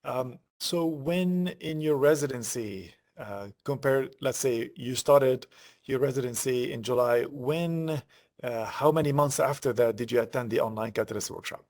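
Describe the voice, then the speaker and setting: A man hosting a conversational online interview, pausing often in the middle of his questions. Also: mid-range voice, a little mellow. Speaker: interview host.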